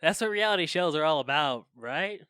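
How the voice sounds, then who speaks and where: A flustered young man confessing into his podcast mic in an audio drama. His bright voice leaps up and down as he rambles and hesitates, awkward and lovelorn. The sound is close and dry. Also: on the high side, lively intonation, normal speed, smooth, no room sound. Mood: nervous, evasive. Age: young adult.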